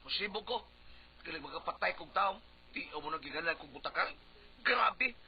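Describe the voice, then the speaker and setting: A man's voice: a little high, lively intonation, normal speed, lightly acted. A man with a Cebuano accent playing a touchy, wounded, hot-tempered husband and candidate in a comic radio drama, whining melodramatically. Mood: indignant, outraged. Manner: melodramatic, whining, repeating himself. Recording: noisy, dull lo-fi radio recording.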